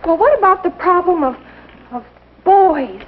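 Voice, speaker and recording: An outspoken, teasing teenage girl in an old classroom film. She speaks high and with very lively, pointed intonation. The sound is muffled and dated. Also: measured pace, slight background noise. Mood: skeptical. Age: young adult. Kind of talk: 1950s instructional film acting.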